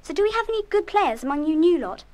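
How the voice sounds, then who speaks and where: Schoolgirl prefect, bossy and no-nonsense, high animated voice, children's radio drama. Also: fast. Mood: officious. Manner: firm, officious. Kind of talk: full-cast children's radio play acting.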